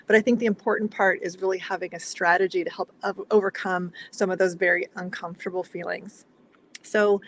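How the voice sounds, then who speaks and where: A woman's voice: on the low side, creaky. A woman from Mexico speaking as a panelist in a composed, professional style on an online call. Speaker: panelist, strategic planning leader. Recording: noisy background on call.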